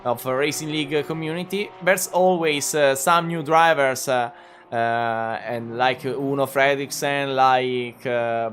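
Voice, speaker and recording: A man's voice: mid-range voice, fairly bright tone. A man with an Italian accent, whose first language is Italian, commentating a sim race casually, hesitant with many fillers. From Italy. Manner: halting, full of "uh" fillers and restarts; chatty, laughs often. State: injured shoulder. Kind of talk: live sim racing commentary.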